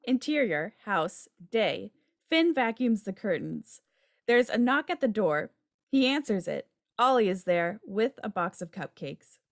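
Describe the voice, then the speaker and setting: A woman narrates a script's stage directions. Her voice is medium-pitched, in a dry room with little echo. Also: very animated, unhurried, vocal fry. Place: home room on a video call.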